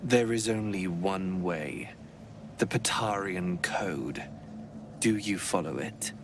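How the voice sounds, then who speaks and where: An actor voicing a menacing young alien boy in a video game, zealous and wounded, speaking in a cold, moralizing, deliberate way. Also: low-pitched, noisy background. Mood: accusing, menacing.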